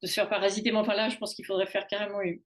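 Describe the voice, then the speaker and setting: A woman's voice: medium pitch, level tone, slight background noise. A woman in her late forties talking casually as a show guest, often stopping and restarting her sentences. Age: late 40s. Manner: halting, many restarts and fillers. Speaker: guest on a women's wellness talk show. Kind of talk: casual conversational talk. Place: in Canada.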